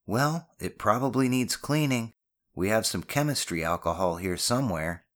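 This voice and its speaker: A man's voice: on the low side, lively intonation, normal speed, a bit bright, voice pushed up. A man voicing an awkward, skeptical schoolboy son in an audiobook, casual and mildly exasperated. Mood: put-on nonchalance. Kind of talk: audiobook character dialogue.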